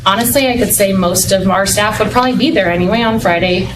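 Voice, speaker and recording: A woman's voice: medium pitch, fast. A woman, a parent and kindergarten teacher, making a public comment at a school board meeting with frequent ums. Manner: hesitant, many ums. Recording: distant mic in a noisy meeting room.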